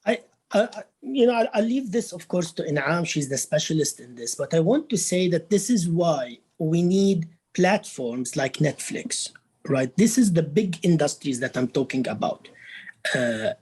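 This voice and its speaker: Man, Beirut Lebanese accent, panel guest, lively pitch, husky, repeats words. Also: moderate pace. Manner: animated, repeats words, frequent tag "right?". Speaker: professor of Arabic, panel guest. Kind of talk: conference panel discussion. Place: conference stage.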